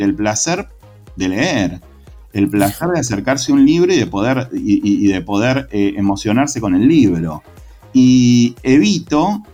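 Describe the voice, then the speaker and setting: A man with an Argentine accent, a secondary school literature teacher, talking in a relaxed interview in a reflective, passionate way with frequent restarts. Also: mid-range voice. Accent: Argentine Spanish.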